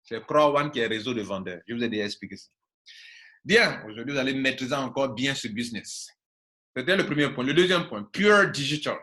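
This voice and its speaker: Ivorian man, native Baoulé speaker, medium pitch, marketing coach, informal training talk, emphatic, repetitive, rhetorical questions. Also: expressive, a little fast, pushed and bright. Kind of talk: informal online training talk, reading and translating slides.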